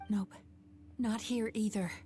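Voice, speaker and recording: A woman voicing a gentle, composed spirit counselor in a video game, speaking in a calm, measured, explanatory way. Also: mid-range voice, lightly acted. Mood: cautious. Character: Mizuki, gentle, composed female nightmare-eating spirit counselor.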